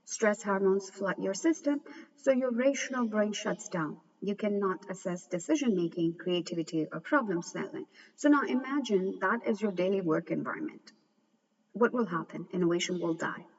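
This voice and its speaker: A woman's voice: mid-range voice, lively intonation, vocal fry. Woman reviewing a book in an echoey room. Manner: earnest and measured, with occasional stumbles. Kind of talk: reflective book commentary. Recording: dull, echoey recording from a distance.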